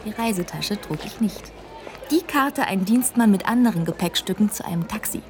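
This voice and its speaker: An actress playing a young American bank teller turned would-be adventurer, narrating and speaking in a dreamy, often whispered way. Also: female voice, medium pitch, expressive, moderate pace, faint music behind, close to an everyday voice. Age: young adult. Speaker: narrator and heroine. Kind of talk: radio play narration and dialogue.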